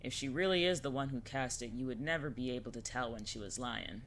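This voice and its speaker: A woman's voice: a bass voice, a little fast, slight background noise. A woman playing a wry, teasing older witch mentor in an audio drama, talking in a casual, slangy way. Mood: gentle, serious. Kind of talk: scripted audio drama acting.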